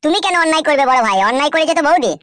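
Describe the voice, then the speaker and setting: Cartoon sidekick lad, female-sounding actor, foul-mouthed, very rapid patter, West Bengal Bengali accent, dry recording. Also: high voice, expressive, a bit bright, dry recording. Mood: cheeky. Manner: cheeky, crude, gossipy. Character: cheeky, foul-mouthed young male henchman. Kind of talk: comedy cartoon voice acting.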